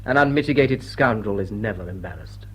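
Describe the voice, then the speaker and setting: A man's voice: lowish voice, lively intonation, brisk, breathy edge, faint music behind, low-quality recording. An actor playing a witty, suave and teasing male English lord in a vintage drawing-room drama. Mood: dry, teasing. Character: dashing, witty, roguish young English lord.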